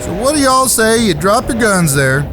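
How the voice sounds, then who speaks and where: A man's voice: sits high, sing-song, moderate pace, noisy background. A man playing a folksy, cocky Western gunman in an audio drama, mock-polite, with an American Southern drawl. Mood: folksy warning. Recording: music bed behind the voice.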